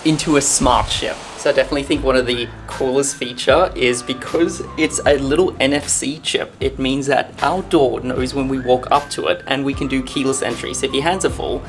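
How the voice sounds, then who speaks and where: A man's voice: mid-range voice, fairly even intonation, fairly quick, hardly any pauses, faint music behind. A young man vlogging a cruise ship tour, filmed at arm's length on camera in an upbeat, chatty guide manner. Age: young adult. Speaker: travel vlogger. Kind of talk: casual travel vlog tour. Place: indoor areas of a cruise ship. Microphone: camera held at arm's length.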